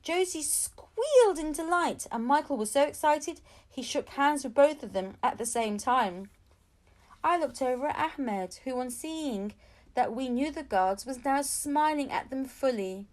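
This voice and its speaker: High-pitched woman reading a children's story. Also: unhurried. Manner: expressive storytelling with acted-out dialogue. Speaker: audiobook reader. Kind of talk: scripted storybook narration read aloud for children.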